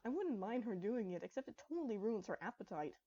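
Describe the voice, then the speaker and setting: A woman's voice: mid-range voice, big pitch swings, quick pace, dark tone. Woman voicing pony mother princess, chatty, fond, conversational storybook dialogue, slightly dull sound. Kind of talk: acted dialogue in fan fiction storybook reading.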